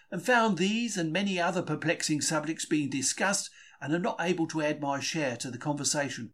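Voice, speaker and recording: A man's voice: fairly high pitch, a bit bright. A man reading a first-person diary from a script in a conversational, confiding storytelling manner. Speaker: audiobook-style reader of a diary.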